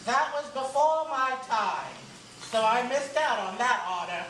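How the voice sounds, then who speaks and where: A woman's voice: a little high, expressive, a little slow, a bit bright. A woman playing a young, thoughtful male president in a readers' theater stage reading. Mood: reflective. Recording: echoey room, distant mic, noisy.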